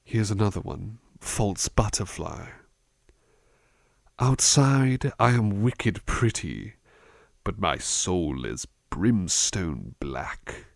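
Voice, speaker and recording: A man playing a gentle, reflective butler in a soft-spoken ASMR roleplay, musing slowly and thoughtfully, at times dropping into a near-whisper. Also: low voice, sing-song, vocal fry, dry recording, studio quality, full character voice. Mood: hushed reciting. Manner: hesitant, thoughtful, musing, unhurried. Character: gentle, reflective male butler reading poetry to his charge.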